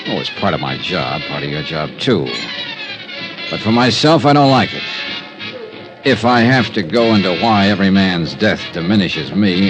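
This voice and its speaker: Moody hardboiled investigator, low voice that swings around a lot, dry and terse, old radio show w music. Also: male voice, rough recording. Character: hard-boiled, brooding male insurance investigator. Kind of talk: old-time radio detective drama acting and narration.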